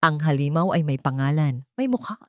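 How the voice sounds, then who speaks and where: Low-voiced woman narrating a dramatic story. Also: lively intonation, brisk, a little mellow, no room sound, studio quality.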